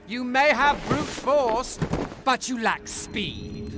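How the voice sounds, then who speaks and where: An actor playing a cocky, taunting, swift god of Olympus in a video game, mocking and gloating. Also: male voice, very high voice, full character voice. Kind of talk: video game cutscene acting. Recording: loud music over the voice.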